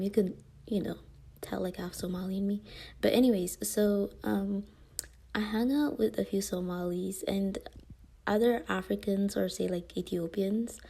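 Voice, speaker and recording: A woman's voice: medium pitch, vocal fry, warm tone, a little hiss or hum. A young woman talking casually to her viewers in a rambling monologue, full of fillers and often dropping into a whisper. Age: young adult. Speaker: vlogger.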